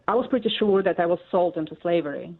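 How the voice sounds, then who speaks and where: A woman in her forties from Russia, speaking English with a Russian accent as an interview guest, halting and full of fillers. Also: on the low side. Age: 40s. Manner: halting, many fillers and pauses. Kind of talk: interview answers. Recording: tinny phone line.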